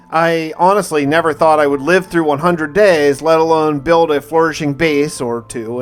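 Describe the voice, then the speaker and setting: A man hosting a gaming video, telling a dramatic first-person story of his play in a theatrical, in-character way. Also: a little high. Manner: theatrical, in-character roleplay delivery with repeated phrases.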